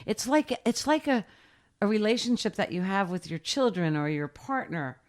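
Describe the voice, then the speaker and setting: A woman's voice: medium pitch, very animated, moderate pace. A woman in her sixties, a guest in a casual interview, speaking emphatically and directly with short, punchy repeated advice. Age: 60s.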